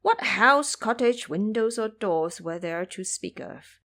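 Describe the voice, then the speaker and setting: Female narrator for an audio drama, expressive scripted storytelling, mid pitch with animated movement. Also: slow.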